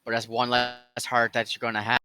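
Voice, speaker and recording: A man's voice: mid-range voice, completely flat delivery, a little fast. A man chatting casually while playing a game, heard over a noisy, distant voice chat microphone. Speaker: game player.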